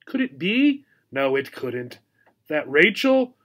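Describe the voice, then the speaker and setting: A man voicing a small female pet gerbil from a storybook, anxious and excitable, exclaiming and scolding herself. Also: on the high side, slow pace, heavily acted. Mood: anxious, self-scolding.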